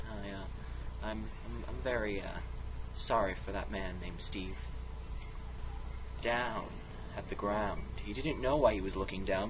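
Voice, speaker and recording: A man's voice: lowish voice, heavy background noise. A man giving a mock-dramatic storybook reading of a text game, often breaking into casual, self-interrupting asides. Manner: theatrical, comically self-interrupting. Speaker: reader and player of a text game.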